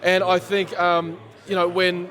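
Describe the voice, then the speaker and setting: Aussie MC guy, high voice, going hoarse, chatty and laughing along. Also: a little slow, a crowd in the background. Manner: animated, chatty, laughs often. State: losing his voice. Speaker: MC, guest. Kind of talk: post-fight reaction interview. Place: sports bar at a casino.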